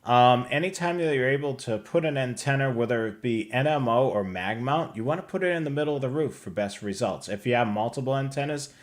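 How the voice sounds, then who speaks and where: A man's voice: mid-range voice, expressive, brisk, few pauses. American man casually hosting a livestream. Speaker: host of a radio scanner show. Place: indoor home room on a video call.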